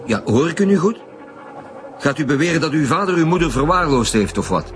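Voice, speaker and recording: An actor playing a stern, insistent police commissioner with a Flemish accent and a formal, reproving manner in a radio drama. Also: male voice, on the high side, animated, fairly quick, soft background music. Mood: firm, insistent. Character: stern, indignant male police commissioner leading inquiries.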